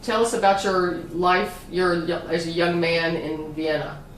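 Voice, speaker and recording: A woman conducting an oral history interview, gently prompting and often rephrasing her questions. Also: fairly low pitch, steady tone, unhurried. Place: room at a community center. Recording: echoey room, distant mic, faint hiss.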